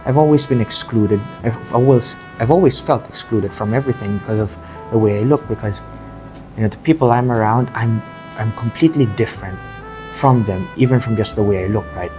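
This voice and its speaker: A man from Southeast Asia talking casually and earnestly in a reflective vlog, halting and repeating phrases as he goes. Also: fairly low pitch, dark tone, faint music behind, poor audio. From Southeast Asia, grew up in Thailand and the Philippines. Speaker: amateur bodybuilder vlogger. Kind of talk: casual confessional vlog talk.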